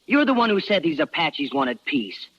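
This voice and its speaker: A man's voice: a little high, very animated, moderate pace, fairly bright tone, slightly rough, full character voice. An actor playing a folksy, outwardly polite rancher's boy in a 1950s radio Western. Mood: aggrieved, polite. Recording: muffled vintage radio broadcast.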